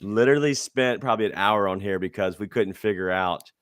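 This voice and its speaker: A man hosting a casual sports podcast, upbeat and quick to hype things up. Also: on the low side, a bit bright, clean tone, no room sound. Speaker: podcast host.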